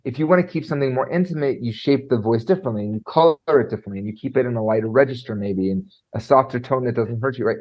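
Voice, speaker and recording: A man coaching on presentation skills, talking in an animated way and filling his speech with "like" and "right?"; he has a trained high singing voice. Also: racing, few pauses, lo-fi sound. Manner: animated, lots of fillers like "like" and "right?". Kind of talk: conversational podcast interview.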